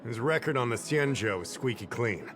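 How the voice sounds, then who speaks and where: An actor voicing a relaxed, authoritative male official in a video game, confident and lightly humorous. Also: medium pitch, moderate pace, lightly acted. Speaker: commander of an investigation. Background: game music.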